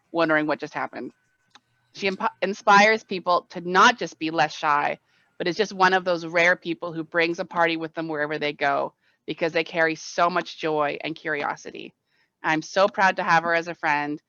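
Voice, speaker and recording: A speaker giving a warm, admiring prepared tribute to a friend before handing over. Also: medium pitch, dry recording, lo-fi sound. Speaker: friend introducing the guest.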